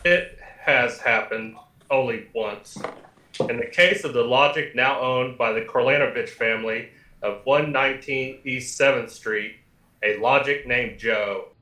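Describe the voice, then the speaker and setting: An actor playing a formal, matter-of-fact talking computer with precise recitation in an old-time radio comedy. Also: male voice, medium pitch, measured pace, bright, forceful tone. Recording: echoey, with faint music.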